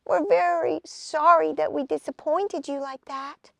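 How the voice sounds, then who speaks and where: A woman's voice: high-pitched, fairly even intonation, measured pace, creaky, fairly bright tone, pitched above the performer's own voice, exaggerated voice. A woman voicing small, plucky acorn and hazelnut children in a storybook, earnest and a little plaintive. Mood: pleading. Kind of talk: storybook read-aloud.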